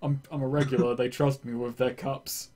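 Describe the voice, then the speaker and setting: A man's voice: mid-range voice, flat delivery, moderate pace, dark tone, soft background music. A man in a mid range chats casually as a tabletop player. His remarks are dry and joking. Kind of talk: casual chat during a tabletop game.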